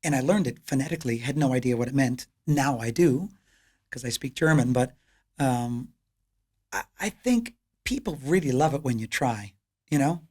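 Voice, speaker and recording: A Canadian man chatting as a podcast guest, telling lively, upbeat stories about performing. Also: medium pitch, normal speed. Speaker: podcast guest, piano entertainer. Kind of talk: casual podcast conversation.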